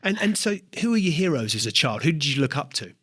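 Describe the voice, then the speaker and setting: A man's voice: a little high, sing-song, fast, fairly bright tone, slightly rough, dry recording, studio quality. A man hosting a podcast interview, asking questions with frequent fillers and restarts. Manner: hesitant, many filler words and restarts. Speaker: podcast host.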